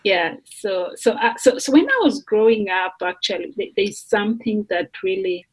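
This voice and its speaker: A woman from Kenya, a doctoral student, talking slowly and thoughtfully with fillers as a guest on a remote podcast interview. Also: mid-range voice, expressive, husky, rough recording. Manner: slow, thoughtful, with fillers and restarts. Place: remote call.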